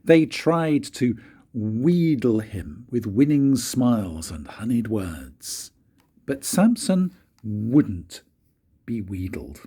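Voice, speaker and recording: Husky older man reading a storybook, very animated. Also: mid-range voice. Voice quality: husky, breathy. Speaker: grandfather reading to his grandchildren. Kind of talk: storybook read-aloud narration.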